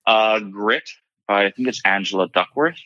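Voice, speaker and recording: A man who grew up in Hawaii, a podcast guest answering questions in a relaxed, measured way with dry humor. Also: fairly low pitch, dry recording. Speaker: podcast guest, self-storage appraiser. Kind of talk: casual interview answers. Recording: slightly dull lo-fi audio.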